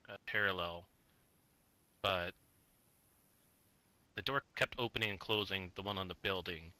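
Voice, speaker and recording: A man's voice: low-pitched, sharp tone. A man talking in character during a survival roleplay game, rambling and swearing as he trails off mid-thought. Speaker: roleplay player.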